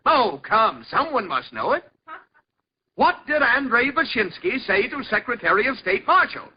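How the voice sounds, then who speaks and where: A man's voice: extremely high pitch, big pitch swings, normal speed, slightly rough, heavily acted. A man acting a showy, mock-sympathetic quiz show host in theatrical 1940s radio comedy style. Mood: announcing. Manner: theatrical, announcing, mock-apologetic. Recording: muffled old radio, audience behind.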